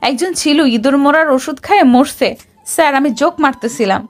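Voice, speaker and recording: A woman's voice: high voice, animated, a little fast, faint music behind. A woman playing a feisty, sassy young officer in a romantic audio story, with a melodramatic manner. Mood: panicked. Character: feisty, dramatic young woman officer in love with her boss.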